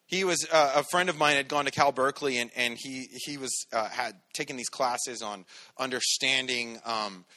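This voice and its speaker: A pastor preaching a sermon in a loud, commanding voice, energetic and conversational. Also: male voice, medium pitch, normal speed.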